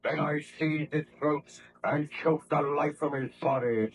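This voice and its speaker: An actor playing a brooding, vengeful monster, speaking slowly and formally with menace in 1930s radio drama style. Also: male voice, on the high side, steady tone, a little hiss or hum, natural voice. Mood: cold menace. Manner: slow, menacing, deliberate, formal. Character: brooding, vengeful male monster, hideous murderous creation. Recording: dull lo-fi old radio recording.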